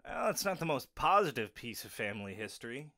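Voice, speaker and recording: A man's voice: medium pitch, very animated, fairly quick, bright, forceful tone, no echo, studio quality. A man playing an easygoing, wry young werewolf in an audio drama, with a casual, conversational delivery. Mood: casual, reminiscing. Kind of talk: audio roleplay drama acting.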